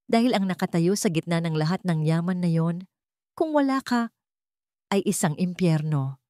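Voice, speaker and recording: A woman's voice: lowish voice, animated, moderate pace, mellow, dry recording, clean studio sound, natural voice. A woman voicing a devoted, adoring billionaire husband in an audio romance story, tender and emotional. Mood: emotional, repentant.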